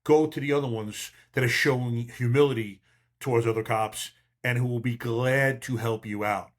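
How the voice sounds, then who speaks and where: An older man with a mid-pitched voice talks like a seasoned cop hosting a podcast. He gives casual advice in a blunt, emphatic, streetwise way. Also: steady tone, moderate pace. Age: 50s or older. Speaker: podcast host, veteran police officer.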